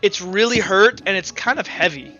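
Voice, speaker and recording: A man's voice: sits high, normal speed, pushed and bright, lo-fi sound, soft background music. A man running a tabletop roleplaying game as game master, talking casually with his players.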